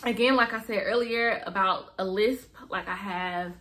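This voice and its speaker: Bright-voiced young woman with a slight lisp. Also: mid-range voice, moderate pace. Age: young adult. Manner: chatty, expressive, lots of fillers. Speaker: vlogger. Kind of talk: casual chat to camera. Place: bedroom, indoors. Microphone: camera in front of her.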